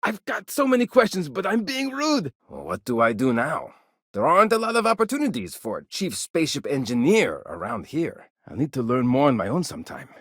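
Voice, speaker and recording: Curious chief starship engineer, game dialogue, excitable, wildly animated pitch, very dry close sound. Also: male voice, fairly quick, no room sound, exaggerated voice. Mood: matter-of-fact. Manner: excitable, inquisitive, chatty. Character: eager, curious male chief engineer of an aging colony starship. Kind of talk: video game character dialogue.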